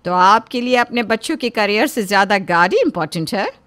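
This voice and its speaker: A woman's voice: mid-range voice, animated, brisk, a bit bright, lightly acted. A woman playing a stern, righteous schoolteacher in a cartoon story, lecturing in a formal, reproachful way.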